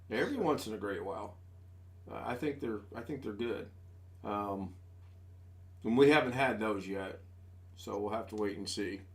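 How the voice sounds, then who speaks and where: A man in his sixties hosting a casual live stream from home on a desk microphone, chatting in a rambling, folksy, self-deprecating way. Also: medium pitch. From United States. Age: 60s. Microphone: desk USB microphone.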